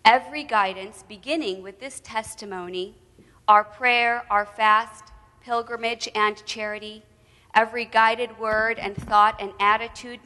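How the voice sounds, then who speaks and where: A woman delivering a prepared spoken reflection, deliberate and solemn, in poetic phrases. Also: fairly high pitch, sharp tone. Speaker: speaker telling a personal faith story. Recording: long hall reverberation.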